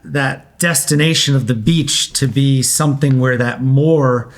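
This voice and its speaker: Male actor, medium pitch, thoughtful and halting, informal discussion. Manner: halting, searching for words. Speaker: actor in a table read. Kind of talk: casual, reflective discussion.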